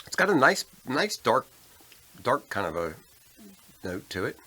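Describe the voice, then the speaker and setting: Male American co-host, informal chat, bright forward tone, mid-range pitch with lively movement. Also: unhurried. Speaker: podcast co-host. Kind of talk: casual chat over a beer.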